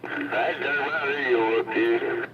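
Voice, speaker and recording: A man's voice: mid-range voice, fairly even intonation, takes it slowly, vocal fry, over music. A man, chatting casually over a CB radio. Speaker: CB radio operators on air. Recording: muffled CB radio with loud static noise.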